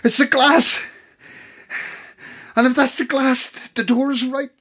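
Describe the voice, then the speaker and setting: A woman with an Irish accent playing a frightened but commanding late-night radio host in a naturalistic horror audio drama, urgent and halting. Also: sits high, fairly even intonation, a bit bright, exaggerated voice. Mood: panicked. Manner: urgent, halting, gives orders.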